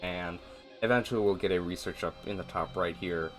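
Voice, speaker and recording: A man giving casual, rambling gameplay commentary in a flat, monotone voice, with a self-deprecating manner. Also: sits low, fairly quick, faint music behind. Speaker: gaming video host.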